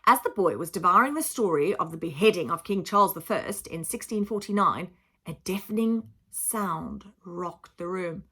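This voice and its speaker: A woman reading a children's book aloud in an expressive, dramatic, emphatic style, like a teacher telling a story to a class. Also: lowish voice, moderate pace, husky. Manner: dramatic, emphatic, acts out sound effects. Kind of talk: expressive children's audiobook narration.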